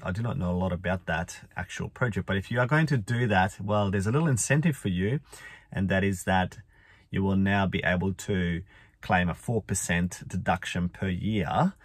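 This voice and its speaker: A man, croaky from a cold, chatting casually and rambling with lots of fillers as he vlogs from inside a car. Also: fairly low pitch, fairly bright tone, no room sound. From Australia. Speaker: finance vlogger. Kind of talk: casual talking-head vlog. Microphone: phone or camera at arm's length.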